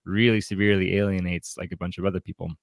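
Casual male podcast co-host, lower-pitched, thinking aloud with frequent restarts, very dry sound. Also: flat delivery, quick pace, creaky, dry recording. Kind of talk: casual book discussion.

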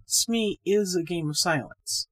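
A woman's voice: fairly low pitch, a little slow, fairly bright tone. A woman reading a scripted ghost story aloud as the narrator. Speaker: story reader, podcast host.

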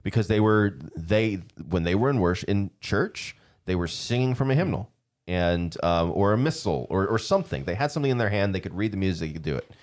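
Lively low-voiced man hosting a talk. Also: fairly quick. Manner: animated, laughs often, restarts sentences. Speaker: podcast host, church leader. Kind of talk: casual conversation about worship music.

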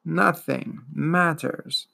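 Man doing an ancient female swamp turtle, wheezing, gurgling, slow drawn-out indifferent speech, gravelly. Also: fairly high pitch, bright, forceful tone, full character voice. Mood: weary, indifferent.

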